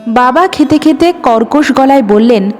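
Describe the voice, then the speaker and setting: A woman reading a dramatic first-person audio story in an expressive manner. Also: sits high, a little slow. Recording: long reverb with music behind. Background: background music.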